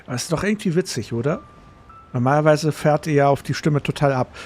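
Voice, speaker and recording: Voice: mid-range voice, quick pace, a little mellow, a little hiss or hum. A game streamer chatting casually and commenting on gameplay in a playful, wry way.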